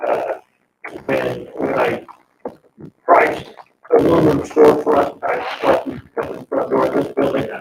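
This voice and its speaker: A man speaking informally at a board meeting, halting and repeating phrases as he explains. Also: rumbling low, monotone, vocal fry. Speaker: parks board member. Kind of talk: informal public meeting discussion. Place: indoor town board meeting room. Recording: echoey, noisy meeting room, distant mic.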